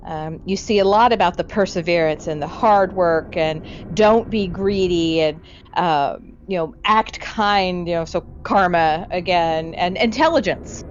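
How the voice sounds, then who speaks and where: A woman's voice: lowish voice, measured pace, slight background noise. A woman co-hosting a casual discussion, thinking aloud in loose, trailing lists. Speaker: podcast host. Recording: dull lo-fi audio, music behind.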